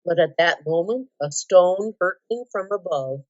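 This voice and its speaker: A woman reading a story aloud with measured, deliberate, dramatic phrasing. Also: fairly low pitch, animated. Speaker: volunteer storybook reader. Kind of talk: scripted story narration. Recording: echoey room, slightly dull sound.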